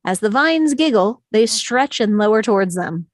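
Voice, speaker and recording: A woman's voice: medium pitch, expressive, moderate pace, no room sound. Dry-sounding woman narrating a script. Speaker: narrator reading stage directions. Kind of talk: scripted narration, live table read.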